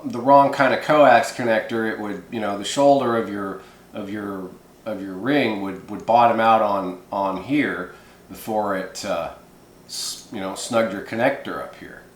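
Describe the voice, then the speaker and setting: A man's voice: on the low side, unhurried. A man hosting a hands-on how-to video in casual, folksy, rambling talk. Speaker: host of a hobby how-to video. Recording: echoey room.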